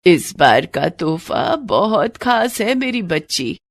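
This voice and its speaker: Slow, tender old grandmother, played by a woman. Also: lowish voice, sing-song, dry recording, exaggerated voice. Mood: loving. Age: older. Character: gentle, loving, reassuring elderly grandmother. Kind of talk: dramatic audio story acting.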